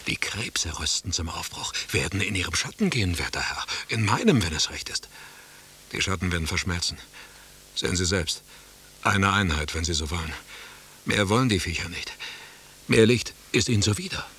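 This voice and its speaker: An actor playing a sly, deferential companion in a radio play, needling with formal courtesy and poetic phrases in a raspy voice. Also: male voice, low-pitched, expressive, normal speed, sharp tone, exaggerated voice. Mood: poetic, ominous. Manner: formal, courteous, taunting, declamatory.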